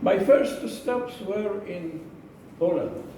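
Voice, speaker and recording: Man, slightly high-pitched, deliberate public speech, emphatic repetition, heavy room echo. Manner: slow, deliberate, repeats phrases for emphasis. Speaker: club speaker giving a speech. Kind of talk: prepared club speech to a live audience. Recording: echoey room, distant mic, noisy.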